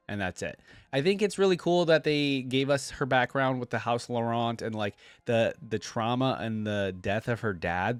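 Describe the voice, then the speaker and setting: A man's voice: medium pitch, dry recording. Casual, rambling man in a dry close recording. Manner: rambling, conversational, frequent rhetorical "right?". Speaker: reaction video host. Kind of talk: casual unscripted game lore commentary.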